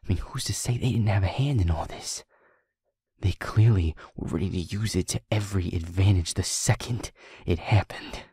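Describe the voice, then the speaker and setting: A man playing a stubborn, hurting young werewolf boyfriend in an intimate audio roleplay, with a husky, breathy delivery that often drops near a whisper and sounds earnest and strained. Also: low voice, mellow, no room sound, exaggerated voice. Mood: indignant, determined. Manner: halting, earnest, emotionally strained.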